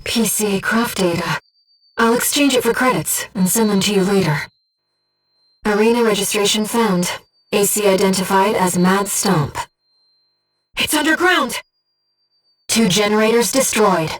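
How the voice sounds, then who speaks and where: A woman voicing a gentle, composed, earnest disembodied female guide in a video game, speaking in a measured, softly formal and precise way. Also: medium pitch, animated, distant mic, low-quality recording. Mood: alarmed, urgent. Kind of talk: scripted video game voice acting, mission guidance. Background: music.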